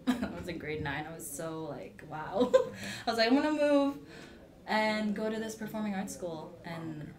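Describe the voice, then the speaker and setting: A woman's voice: on the low side, very animated, unhurried. A young woman with a Canadian accent chats casually as a podcast guest, in an informal, slangy, giggly way. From Philippines and British Columbia, Canada. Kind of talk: casual chat, personal anecdotes.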